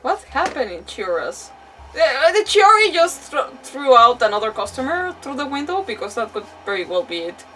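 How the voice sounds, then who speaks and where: Casting: female streamer, fairly high voice, playful rambling commentary, mic slightly distant. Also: very animated, moderate pace, sharp tone, soft background music. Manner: rambling, playful, mild swearing. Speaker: game livestreamer. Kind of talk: casual live gaming commentary.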